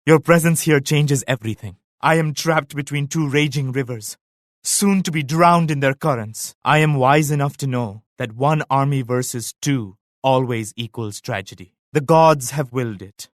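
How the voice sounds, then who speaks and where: An actor playing a devout, solemn emperor and military leader in a strategy game, with measured, grave, pious declamation. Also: male voice, mid-range voice, no echo, clean studio sound, close to an everyday voice. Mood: dignified, devout. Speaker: video game character voice actor. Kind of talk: scripted strategy game voice lines.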